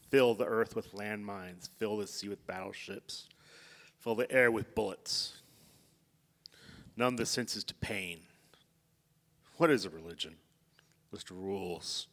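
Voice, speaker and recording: A man playing a grave, weary army general in a live staged reading, speaking in measured, declamatory phrases. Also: lowish voice, fairly bright tone. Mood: solemn. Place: theatre stage before an audience. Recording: live theatre stage, long hall reverb.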